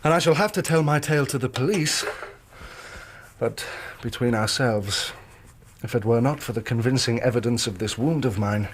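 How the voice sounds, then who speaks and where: A man's voice: medium pitch, normal speed, lightly acted. A man plays an injured English engineer in a period radio drama. He is polite and formal, yet nervy and easily worked up. A faint noise with small clicks sits under the voice. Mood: shaken, earnest. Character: injured young male hydraulic engineer, overwrought but polite.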